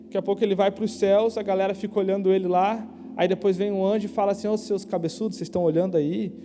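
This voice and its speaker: A young man preaching a casual youth sermon in a church, slangy and conversational, asking the crowd quick questions. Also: sits high, fairly even intonation, mellow, a little hiss or hum. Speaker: youth pastor. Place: church hall. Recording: live church hall with long reverb.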